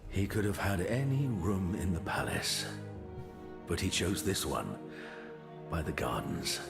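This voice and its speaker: A grieving, dignified king in a motion comic, deep and gravelly. He speaks slowly and formally, with loud music behind him. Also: big pitch swings, full character voice. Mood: wistful, grieving. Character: grieving, dignified king mourning an old friend. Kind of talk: scripted motion comic drama.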